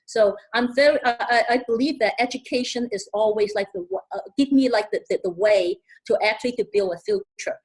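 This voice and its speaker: A middle-aged woman from Hong Kong with a Hong Kong accent, speaking on a webinar panel, halting with many fillers and repeated words. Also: fairly high pitch, expressive, a little mellow, husky, rough recording.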